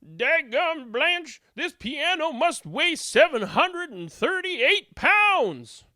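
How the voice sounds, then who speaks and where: A woman's voice: high voice, big pitch swings, slow pace, bright, forceful tone, no echo, clean studio sound, pitched above the performer's own voice, exaggerated voice. A woman voicing grumbling, exasperated maids in a children's storybook, in an indignant folksy drawl. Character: grumbling, exasperated maids hauling a heavy instrument.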